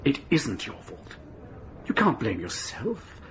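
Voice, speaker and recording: An actor playing a concerned husband in a radio drama, gravelly and breathy, hushed and tender. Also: male voice, mid-range voice, wildly swooping pitch, slow pace, a little hiss or hum. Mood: worried. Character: concerned, consoling husband, Tim.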